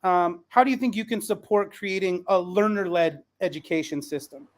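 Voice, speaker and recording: A man's voice: high-pitched, normal speed. A man who teaches, chatting casually as he hosts a panel discussion. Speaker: podcast host and school teacher. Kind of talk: casual conversational panel hosting.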